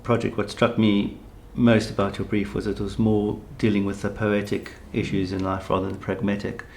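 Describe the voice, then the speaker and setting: A man, an architect, speaking in a measured and articulate way about a design brief. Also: on the low side, flat delivery, creaky, a little mellow, slight background noise. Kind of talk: reflective conversational chat.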